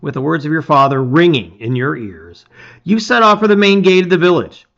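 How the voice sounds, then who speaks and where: A man's voice: a little high, animated, a little fast, gravelly, a bit bright, dull audio. A man reading a gamebook aloud as a dramatic story, with theatrical, emphatic phrasing.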